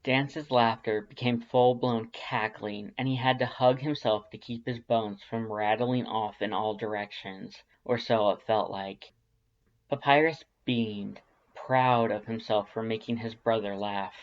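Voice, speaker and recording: A man's voice: fairly low pitch, fairly even intonation, unhurried, lo-fi sound. A man reading scripted fan fiction narration as a storyteller.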